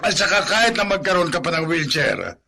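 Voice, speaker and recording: A man's voice: a little high, big pitch swings, brisk, creaky, pushed and bright, full character voice. A man playing a harsh, scornful Filipino father in a radio drama, scolding bluntly and impatiently.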